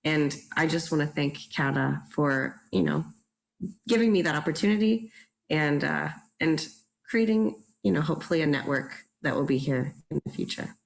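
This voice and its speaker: A woman's voice: low-pitched, lively intonation, normal speed, vocal fry, rough recording. A woman who works as a theatre director, talking casually in a panel, earnest and grateful.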